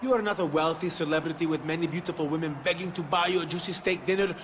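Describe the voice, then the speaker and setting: A man's voice: fairly high pitch, quick pace, close to an everyday voice. A man playing a boastful, preening villain wrestler in a video game cutscene, taunting and bragging. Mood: scornful taunting. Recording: clearly noisy background ambience.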